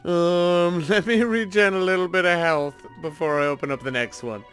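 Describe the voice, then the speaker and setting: A man's voice: high-pitched, normal speed, fairly bright tone. A man hosting a gaming video with casual, playful, chatty commentary in an off-the-cuff way. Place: indoor voice-over recording over gameplay. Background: background music.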